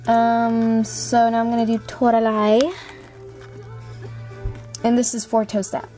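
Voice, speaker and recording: A woman's voice: a little high, monotone, takes it slowly, warm tone, faint music behind. A woman chatting casually and apologetically to online viewers as an amateur voice auditioner. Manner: self-deprecating, apologetic.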